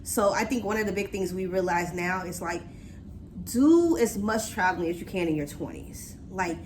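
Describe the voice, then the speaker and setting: A woman's voice: lowish voice, expressive, moderate pace, slight background noise. A woman in her late forties, chatting casually and easily on a trip with her sister, often laughing. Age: 40s. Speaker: guest, vlogger's sister. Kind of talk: casual chat.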